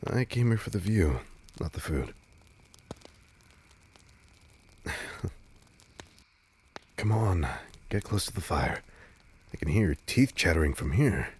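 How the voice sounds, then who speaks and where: A man playing a laid-back, teasing, protective vampire on a date in an intimate audio roleplay, speaking casually with a husky, breathy voice. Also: sits low, big pitch swings, unhurried, pauses often, a little mellow, slight background noise, exaggerated voice. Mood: teasing, fond.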